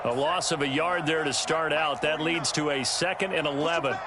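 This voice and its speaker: A man playing a polished play-by-play football announcer in a video game, calling plays in a crisp, measured way. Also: a little high, steady tone, a loud crowd, close to an everyday voice. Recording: loud stadium noise behind the voice.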